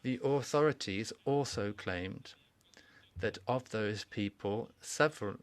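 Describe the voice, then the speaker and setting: A man giving a formal welcoming speech at a conference, measured and deliberate, with pauses and restarts. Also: fairly low pitch. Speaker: conference speaker. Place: conference hall.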